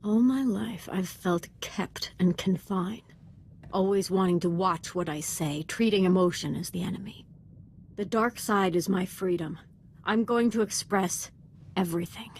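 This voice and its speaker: Casting: actress as young female dark-side apprentice for a game, deferential yet fervent, articulate delivery, mid-range voice. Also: mid-range voice, measured pace, slight background noise, close to an everyday voice. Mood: fervent, defiant. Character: young female Sith apprentice, devoted, fervent, darkly eager. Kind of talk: dramatic video game voice acting.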